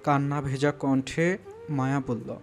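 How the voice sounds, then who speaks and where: A man's voice: mid-range voice, steady tone, a little slow. A man narrating a romantic audio story in a dramatic, scripted style. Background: background music.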